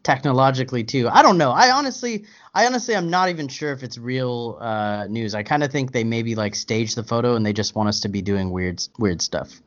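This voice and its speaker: A man's voice: medium pitch, a little fast, few pauses, fairly bright tone, highs cut off. A man chatting as a podcast guest, hesitant and stammering as he thinks aloud, chuckling often. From United States. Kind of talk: casual podcast banter.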